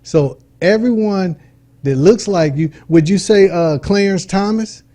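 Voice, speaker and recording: A middle-aged man, his voice sitting a little high but soft and mellow. He speaks informally and earnestly as a talk-show guest and builds his points with homely examples. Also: a little slow. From Detroit, USA. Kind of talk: casual talk-show conversation.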